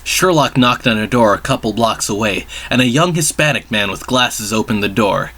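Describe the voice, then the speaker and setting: A man's voice: medium pitch, normal speed, bright, forceful tone. A man giving a dramatic reading of a comedic story as narrator, with declarative storytelling delivery.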